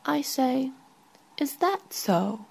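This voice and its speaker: A woman narrates a first-person literary story very slowly. Her voice is breathy and creaky, often dropping close to a whisper. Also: sits high, sing-song, a little mellow. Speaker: author reading own short story.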